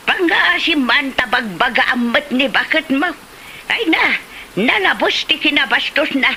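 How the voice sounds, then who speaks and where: A woman's voice: high voice, animated, unhurried, bright, forceful tone, exaggerated voice. A woman playing an indignant, scolding elderly village woman in a comedy drama, with an Ilocano accent. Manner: scolding, exclamatory. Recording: slight background noise.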